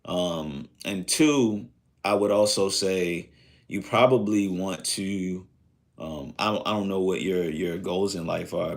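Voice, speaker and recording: A man in his thirties chatting casually on a live stream, rambling and reflective, with lots of fillers. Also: deep voice. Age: 30s.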